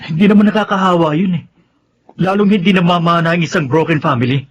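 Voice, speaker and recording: A man's voice: fairly high pitch, quick pace, lo-fi sound, slight background noise, natural voice. An actor with a Filipino accent playing an earnest, lovesick young man in a radio drama. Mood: ardent, pleading. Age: young adult. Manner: earnest, pleading. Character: lovesick, pleading young man, dutiful son in love.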